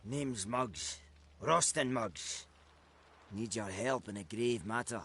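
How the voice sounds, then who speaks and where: Talkative robbed merchant, mid-pitched. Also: male voice, slow pace, a bit bright, slightly rough, heavily acted. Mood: pleading, wry. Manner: colourful, colloquial storytelling. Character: wheedling, talkative robbed merchant man in fantasy game.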